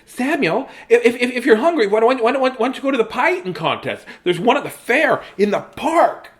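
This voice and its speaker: A man voicing an eager little boy who stammers as he rushes to share an idea, in a read-aloud storybook. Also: very high voice, sing-song, voice pushed up. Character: excitable little boy, the younger brother.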